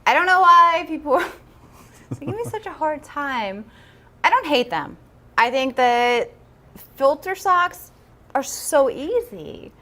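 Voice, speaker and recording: A woman's voice: sits high, very animated, slow, long pauses, bright, forceful tone. A woman co-hosting a casual livestream, chatty and upbeat, with plenty of ums and a self-deprecating streak. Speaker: livestream co-host.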